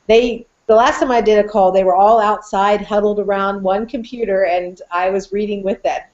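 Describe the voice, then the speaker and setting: A woman's voice: medium pitch, fairly even intonation, normal speed. Woman on a dull video-call recording. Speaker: podcast co-host and educator. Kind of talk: casual chat with tool demonstration. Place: small indoor office, video call. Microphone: computer microphone on video call.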